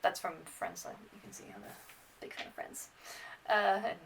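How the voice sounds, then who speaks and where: A woman's voice: lowish voice, big pitch swings, moderate pace, vocal fry, a little hiss or hum. A woman in her twenties, bilingual in French and English, chatting casually to camera in a rambling, hesitant, self-deprecating way as a student vlogger. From France and Ireland. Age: 20s. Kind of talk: casual unscripted vlog chat to camera. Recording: slightly distant microphone.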